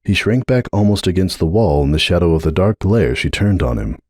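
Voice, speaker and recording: Guy narrating a story, lowish mellow voice, really expressive reading, dry close sound. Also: a little fast, dry recording. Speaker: narrator of fan fiction readings. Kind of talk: dramatic scripted story narration.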